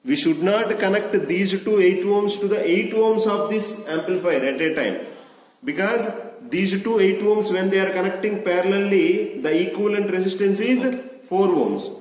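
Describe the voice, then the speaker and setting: A young man gives a deliberate, repetitive instructional demonstration as a lab instructor, recorded at a distance in a very echoey hall. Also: high-pitched, a little mellow. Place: large indoor lab room with hard surfaces.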